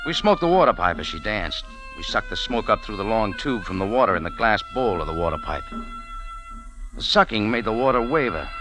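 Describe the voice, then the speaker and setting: A man's voice: on the low side, rough recording. A man playing a wry, tough adventurer with an American accent, narrating his story in dramatic old radio style. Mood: dreamy, ominous. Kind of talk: 1940s-50s hardboiled radio drama narration. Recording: vintage radio, music behind.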